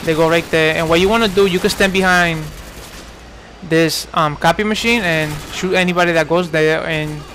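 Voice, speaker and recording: A man's voice: on the high side, fairly even intonation, normal speed, fairly bright tone, slight background noise. A man in his twenties from New York giving casual, rambling commentary over gameplay, full of fillers and restarts. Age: 20s. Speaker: gaming commentator.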